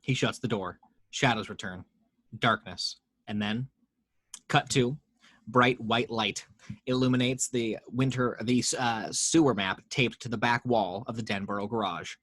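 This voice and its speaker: A man's voice: mid-range voice, steady tone, unhurried, fairly bright tone, no room sound. A man reading the action lines of a screenplay aloud at a home table read, steadily, voicing the sound effects. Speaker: narrator reading action description.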